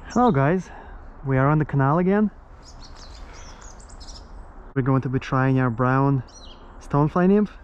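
A man's voice: medium pitch, measured pace, long pauses, muffled recording, a little hiss or hum. Man narrating a lure-making tutorial. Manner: upbeat, talks to viewers outdoors. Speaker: fishing channel host. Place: canal towpath outdoors.

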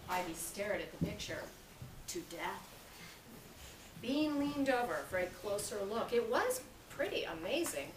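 In a reverberant, noisy library room, picked up from a distance, a middle-aged American woman reads a children's story. Her delivery is animated and projected for young listeners. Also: mid-range voice, unhurried. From California, US. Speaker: children's author reading at a library. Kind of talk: expressive children's story reading. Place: library reading area, indoors. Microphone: camera a few metres away. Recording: echoey, noisy room, distant camera mic.

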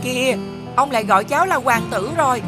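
High-pitched young boy prince. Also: lively intonation, quick pace. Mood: earnest. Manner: polite, childlike. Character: earnest young boy prince, polite and dutiful. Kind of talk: animated fairy tale dubbing. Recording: background music under the voice.

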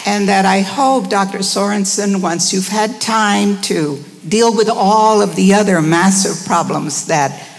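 An older woman in her sixties or beyond giving a measured, earnest public address at a podium microphone. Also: medium pitch, gravelly. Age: 60s or older. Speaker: former school employee speaking at public comment. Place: large indoor board meeting room. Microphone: gooseneck podium microphone. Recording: reverberant meeting hall.

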